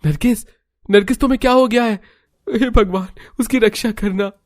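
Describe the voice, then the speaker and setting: A man's voice: high voice, moderate pace, no echo, clean studio sound, voice pushed up, lightly acted. A man voicing an anxious, caring young husband in a Hindi cartoon story, alarmed and urgent. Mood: panicked.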